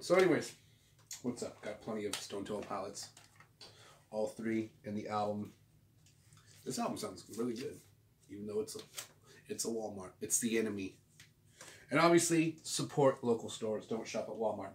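A man in his forties chatting casually to camera like a vlog host, with a laid-back, rambling, slangy delivery. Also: on the low side. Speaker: host of a record collecting video channel. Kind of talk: casual show-and-tell vlog chat. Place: small home room, indoors. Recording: roomy, distant mic with clear background noise.

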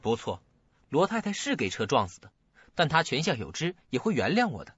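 A man's voice: mid-range voice, huge pitch swings, fairly quick, fairly bright tone, husky, no echo, full character voice. An actor playing an emotional, troubled young man in a radio drama. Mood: bitter, accusing. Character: bitter, accusing young man, anxious son at home. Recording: dull, dry lo-fi audio, faint music.

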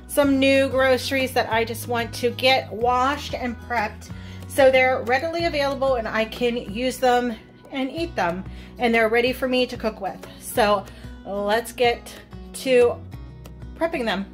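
A middle-aged woman with an American accent speaks in a fairly high voice. She talks casually and chattily, like a lifestyle vlogger addressing viewers, with faint music underneath. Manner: chatty, informal. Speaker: home cooking and lifestyle vlogger.

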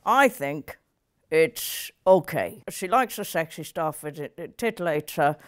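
A woman's voice: low-pitched, animated, a little slow. A woman ventriloquist voicing a cheeky, stubborn monkey puppet who argues bluntly and swears in improvised comedy. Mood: cheeky, grumbling.

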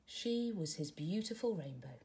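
Expressive woman reading a storybook. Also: fairly low pitch, normal speed, creaky, a little hiss or hum. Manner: expressive, gently paced storytelling. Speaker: teacher reading aloud. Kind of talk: storybook read-aloud. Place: living room at home, indoors.

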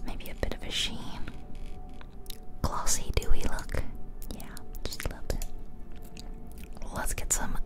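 A woman whispering close to the microphone in an ASMR style, casually musing aloud as she comments on a game. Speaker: ASMR video creator. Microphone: close ear-to-ear ASMR microphone.

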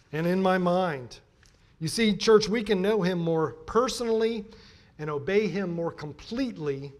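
A middle-aged American man giving a sermon as a pastor, emphatic and deliberate in his delivery. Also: on the high side. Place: church pulpit, indoors.

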